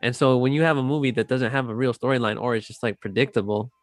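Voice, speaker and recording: A man in casual chat, speaking in a halting, slangy, opinionated way. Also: medium pitch, no room sound, clean studio sound. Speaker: podcast co-host. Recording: music under the voice.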